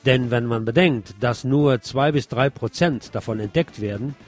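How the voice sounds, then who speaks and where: A man's voice: medium pitch, normal speed, faint music behind. A man presenting scripted radio news, reading reports and adding wry, sardonic asides.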